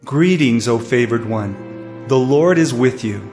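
An actor playing a commanding, solemn angel messenger in a dramatized audio Bible, proclaiming in a measured, declamatory way. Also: male voice, medium pitch, lively intonation, darkish tone, faint music behind, close to an everyday voice. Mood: solemn, reassuring.

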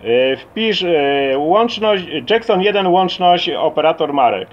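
A man's voice: high voice, animated, slow pace. A man chatting casually as an amateur CB radio operator during a radio contact. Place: at a CB radio set. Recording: dull lo-fi audio, faint radio noise.

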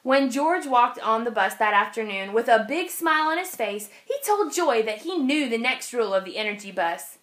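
A woman teacher reading a children's storybook aloud, expressively and with clear phrasing for young listeners. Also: a little high, animated, moderate pace, few pauses, a bit bright. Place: indoors, over an open picture book.

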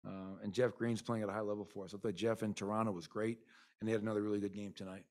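A man's voice: lowish voice, flat delivery, quick pace, a little mellow. A middle-aged man, a basketball coach, talking candidly at a postgame press conference into a desk mic, rambling with many fillers. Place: arena press room. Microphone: desk mic in front.

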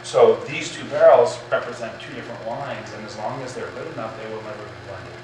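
A man in his fifties talking as a winemaker, explaining things in a thoughtful, measured, conversational way. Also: mid-range voice, noisy background. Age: 50s. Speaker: winemaker guest. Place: large indoor winery barrel room and tasting bar. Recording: very echoey room, distant mic, music underneath. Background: clear background music.

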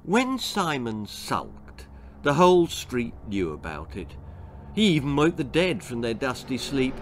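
A man's voice: mid-range voice, wildly swooping pitch, measured pace, a little hiss or hum. A man in his sixties reading a children's horror-comedy story aloud as its author, theatrical and relishing the grisly detail. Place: small indoor book-lined room. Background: music.

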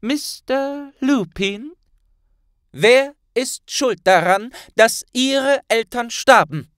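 Male narrator as stern wizard grandmaster, loud, high-pitched, declamatory, accusing, storybook villainy. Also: very slow, smooth, no room sound, studio quality, full character voice. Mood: stern, commanding.

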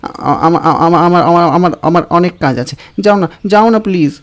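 A man's voice: a little high, normal speed, voice pushed up, close to an everyday voice. A man voicing a shy, bookish young man who is flustered and pleading, in a dramatized audio story over music.

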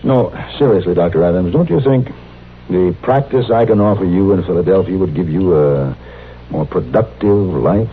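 Actor as low-voiced courteous city doctor, old radio. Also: male voice, close to an everyday voice. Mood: earnest, persuasive. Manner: formal, measured, genteel. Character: polished, courteous big-city doctor from the East. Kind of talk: 1950s radio drama acting. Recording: tinny old radio broadcast, faint noise.

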